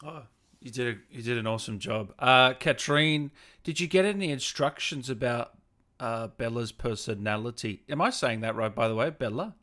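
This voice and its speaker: A man's voice: medium pitch, normal speed, pushed and bright. A man hosting a casual online interview, chatting with his guest and reading out viewer comments. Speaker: interview host, livestreamer. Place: remote online video call.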